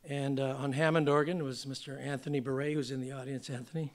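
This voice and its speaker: Older man, hoarse, chatting from stage. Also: mid-range voice, little pitch movement, quick pace, noisy background. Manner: rambling, filler-heavy, chatty. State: slightly hoarse. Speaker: singer-songwriter. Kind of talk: casual stage talk introducing a song. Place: theater stage at a museum event.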